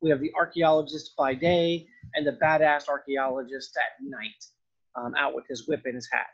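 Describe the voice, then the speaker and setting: Male lecturer, informal and enthusiastic, voice a little high and rough-edged, lo-fi voice-over. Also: steady tone, normal speed. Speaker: film and art history professor. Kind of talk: informal narrated slide lecture. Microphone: voice-over on slides. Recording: dull, lo-fi voice-over recording.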